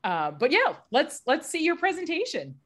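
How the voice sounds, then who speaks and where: Webinar host, woman, chatty and upbeat, a touch high in pitch, on a video call. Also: huge pitch swings, normal speed. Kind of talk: casual webinar hosting.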